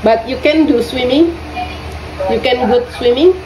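Slow, mellow woman with an Indonesian accent. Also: on the high side. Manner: halting, repeats questions slowly, many pauses and fillers. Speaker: English tutor. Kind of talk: online English lesson for young students. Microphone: lesson audio recorded on a phone. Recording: roomy phone recording with faint noise.

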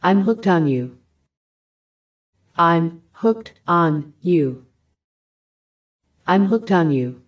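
A woman reading short English phrases for a language lesson, each one repeated with careful, even enunciation. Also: lowish voice, drawn-out words, long pauses. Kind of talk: slow repeated phrase drills.

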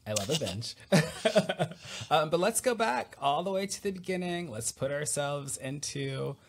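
A man hosting a podcast, chatty and quick to laugh, on a headset mic in a small room over a video call. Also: a little high, animated, background noise. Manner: chatty, laughs easily, filler words. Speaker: podcast host. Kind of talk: casual interview chat.

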